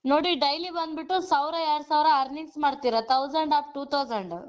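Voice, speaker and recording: Brief: high, rapid-fire woman recruiter, pushy sales pitch, muffled phone line. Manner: pushy, repeats "okay?" to hurry the listener. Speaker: job recruiter on a phone call. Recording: muffled phone call recording.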